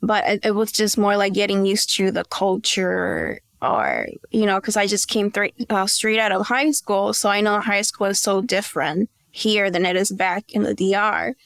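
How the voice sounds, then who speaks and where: A young woman from the Dominican Republic, speaking English as a second language, giving relaxed, thoughtful interview answers as a guest. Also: mid-range voice, normal speed, gravelly, few pauses, no room sound. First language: Spanish. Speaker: guest, beauty brand founder.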